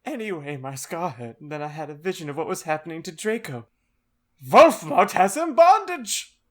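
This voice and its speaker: A man's voice: fairly high pitch, big pitch swings, normal speed, pitched above the performer's own voice. A man voicing an overwrought gothic teenage vampire boy with melodramatic whining in a comic audiobook reading. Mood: defensive, frantic. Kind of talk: comic dramatic reading of fan fiction.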